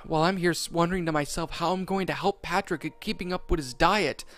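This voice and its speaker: A man's voice: on the high side, fast talker, fairly bright tone, faint music behind, pitched above the performer's own voice, lightly acted. A man voicing an anxious, upset young male sea sponge who frets in worked-up outbursts.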